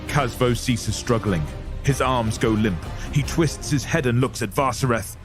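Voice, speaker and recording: A man's voice: medium pitch, unhurried. A man narrating a scripted audio story in a dramatic style. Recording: clear music bed under voice. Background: dramatic music.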